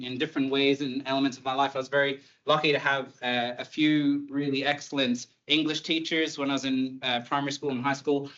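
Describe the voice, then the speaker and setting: A man's voice: mid-range voice, fairly bright tone, highs cut off. A man in his thirties with an Irish accent, a university lecturer giving an online talk in a conversational way, with light humor and many fillers. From Ireland.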